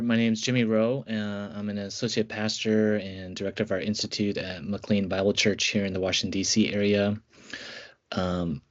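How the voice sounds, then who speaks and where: A man who works as an associate pastor, introducing himself hesitantly with many fillers in a podcast conversation. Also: fairly low pitch. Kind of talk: conversational interview. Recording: muffled lo-fi podcast audio.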